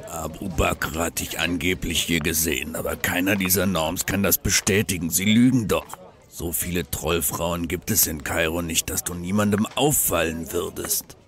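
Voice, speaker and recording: An actor playing a gruff, suspicious male troll searching for his missing wife in a German fan audio drama. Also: deep voice, fairly even intonation, moderate pace, a bit bright, soft background music. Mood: gruff, wary. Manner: brusque, distrustful of humans.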